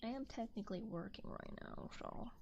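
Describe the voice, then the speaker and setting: A woman streamer chatting casually in a soft, breathy, often whispery ASMR style, gently rambling close to a binaural microphone. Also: fairly high pitch, moderate pace, highs cut off, slight background noise. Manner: gentle, rambling, reading chat aloud.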